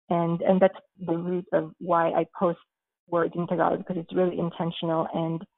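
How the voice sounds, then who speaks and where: A woman's voice: fairly low pitch, vocal fry, no room sound. A woman, a guest, talking thoughtfully with many fillers, in a casual interview. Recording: tinny phone line, dry.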